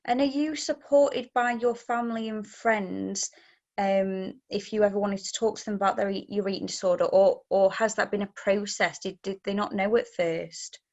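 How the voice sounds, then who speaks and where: Woman in her twenties, medium pitch, interviewer and presenter, careful considerate wording, explanatory delivery. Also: fairly even intonation, moderate pace, darkish tone, lo-fi sound. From Leicester, England. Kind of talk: explanatory introduction and interview questions.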